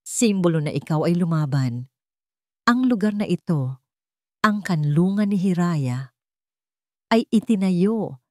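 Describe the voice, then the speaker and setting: A woman voicing a resolute young woman survivor in an audiobook story, speaking in a calm, steady, earnest way. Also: fairly low pitch, no echo, studio quality, lightly acted. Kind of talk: dramatized audiobook dialogue in a storybook drama.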